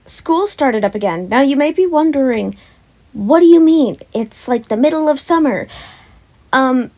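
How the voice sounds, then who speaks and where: A woman's voice: sits high, expressive, measured pace, mellow. A young woman who chats casually and reads a story aloud in an informal amateur way, sometimes tripping over words and correcting herself. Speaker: podfic reader and channel host. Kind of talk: casual chatty intro and amateur story narration.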